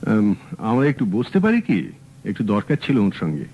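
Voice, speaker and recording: An actor playing a sharp young male private detective in a radio drama, asking brisk, probing questions. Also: lowish voice, wildly swooping pitch. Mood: calm, probing. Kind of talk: 1970s radio drama acting. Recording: dull old radio broadcast.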